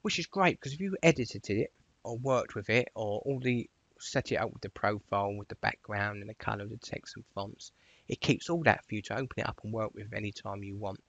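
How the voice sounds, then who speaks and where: A man presenting an informal software tutorial, explaining things step by step in a voice-over. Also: lowish voice, a little fast, no echo, dull audio.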